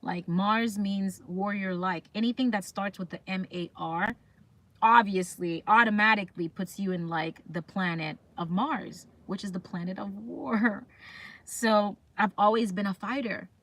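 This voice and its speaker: A woman's voice: medium pitch, a little slow, a bit bright. A woman chatting casually as a livestream host, talking straight to viewers and often putting questions to them. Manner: chatty, reads comments aloud and puts questions to viewers. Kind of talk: casual live chat.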